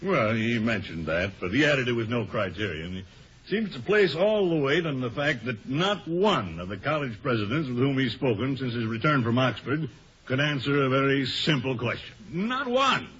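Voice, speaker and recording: Flustered middle-aged board member, very animated intonation, hesitant, theatrical, muffled vintage radio. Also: male voice, mid-range voice, normal speed, husky. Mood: indignant. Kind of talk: 1950s radio comedy acting. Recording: dull vintage radio recording.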